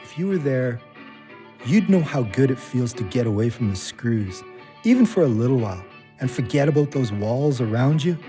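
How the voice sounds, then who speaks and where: A man's voice: mid-range voice, expressive, normal speed, warm tone, faint music behind, close to an everyday voice. A man playing an earnest, plain-spoken ex-convict and loyal friend in a naturalistic audio drama.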